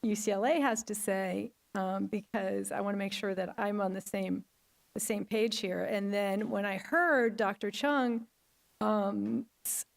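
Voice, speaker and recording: A middle-aged woman, a hospital risk manager, giving a chatty, enthusiastic conference talk in a large auditorium with a loud voice and many fillers. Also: medium pitch, fairly even intonation, measured pace, gravelly.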